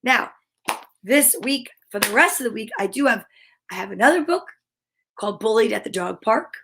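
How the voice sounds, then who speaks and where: Upbeat lady doing kids story time, kinda high voice, gushy and encouraging, chats to the viewers. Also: animated, moderate pace, a bit bright. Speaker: host of a children's story time show. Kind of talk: live read-aloud mixed with chatty asides to viewers.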